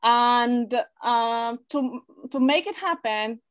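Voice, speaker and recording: A young woman from Poland speaks English, her voice sitting a little high. She talks chattily as a guest on a video call, laughing easily and stumbling over her words before restarting. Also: slow, fairly bright tone, smooth. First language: Polish. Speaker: guest, online English school owner. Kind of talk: interview testimonial.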